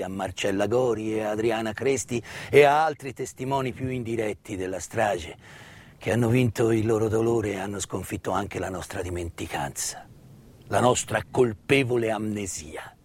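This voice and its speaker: A man delivers a theatrical monologue as a storyteller, solemn and emphatic, with deliberate pauses and repetitions. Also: on the low side, a little mellow, breathy edge. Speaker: stage actor and storyteller.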